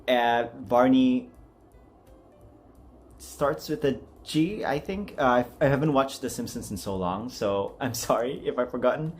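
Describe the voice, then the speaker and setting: A man's voice: medium pitch, animated, unhurried, soft background music. A man chatting casually and unscripted as a video host, rambling in an apologetic, self-deprecating way. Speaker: amateur voice actor and video host. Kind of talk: casual unscripted vlog chat.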